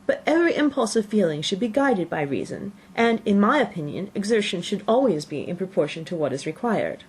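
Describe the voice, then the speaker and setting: A woman's voice: medium pitch, very animated, brisk, slightly rough, voice pushed up. A woman voicing a prim, bookish young sister in an audiobook, moralising in a pompous, sententious manner. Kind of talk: audiobook character voice.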